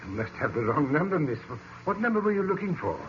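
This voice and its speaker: A deferential, unflappable butler from a vintage radio play. He speaks formally and politely with wide swings in pitch. The recording is dull and faintly noisy. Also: big pitch swings, fast, fairly bright tone. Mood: politely puzzled. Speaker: actor in a radio detective drama. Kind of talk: old-time radio mystery acting.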